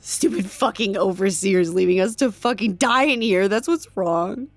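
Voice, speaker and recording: A woman's voice: medium pitch, normal speed, no echo, natural voice. An actress playing a distraught, accusing woman in an audio drama, speaking emphatically and confrontationally. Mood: furious, desperate. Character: distraught, profane, accusing woman trapped at work.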